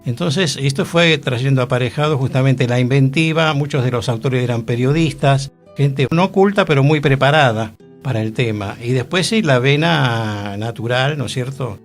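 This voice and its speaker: A man's voice: mid-range voice, normal speed, faint music behind. An older man with a Rioplatense accent hosting a radio show, explaining theater history with frequent tag questions. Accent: Rioplatense Spanish. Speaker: radio host.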